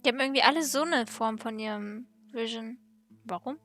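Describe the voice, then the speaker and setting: A woman's voice: on the high side, a little fast, fairly bright tone. A woman giving casual let's play commentary with game music behind her. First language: German. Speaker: let's player.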